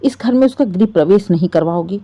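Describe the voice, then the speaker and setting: A woman's voice: mid-range voice, expressive, a little fast, mellow, pitched below the performer's own voice, lightly acted. A woman voicing an upset young married son who is earnest and reproachful in a family drama story. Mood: upset, resolute.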